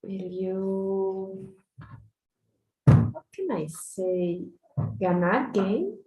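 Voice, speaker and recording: A woman's voice: mid-range voice, animated, extremely slow, mellow, echoey room, highs cut off. A woman whose native language is Spanish, answering as a student and searching hesitantly for her words in English.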